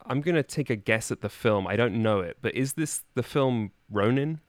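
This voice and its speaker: A man's voice: medium pitch, expressive, normal speed, no room sound. A man chatting casually and jokingly as a contestant on a podcast quiz. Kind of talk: casual comic chat.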